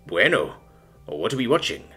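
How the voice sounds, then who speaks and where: A man's voice: lowish voice, sing-song, normal speed, bright, forceful tone, breathy edge, soft background music, full character voice. A man voicing a middle-aged father with a bad leg, teasing in a dry, wry way.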